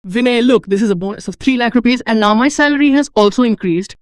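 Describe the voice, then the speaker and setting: A woman's voice: on the high side, fast, clear, resonant tone, no echo, close to an everyday voice. A woman voicing a hardworking, dutiful young married woman, weary but patient, speaking in an earnest, pleading way. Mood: calm, earnest.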